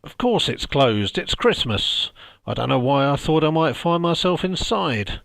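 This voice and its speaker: A man voicing a frightened, anguished old miser in a dramatised storybook reading, with halting, pleading speech. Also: a little high, expressive, gravelly, a bit bright, no echo, pitched above the performer's own voice, full character voice. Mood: self-reproach. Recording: music behind the voice.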